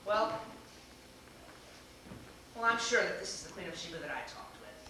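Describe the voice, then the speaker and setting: A man plays an earnest young suitor in a stage comedy, emphatic and easily indignant. His bright, forceful voice rings in a very echoey theater, picked up from a distance with faint noise. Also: high-pitched, very animated, normal speed, heavily acted. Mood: amused. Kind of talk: stage acting in a one-act comedy.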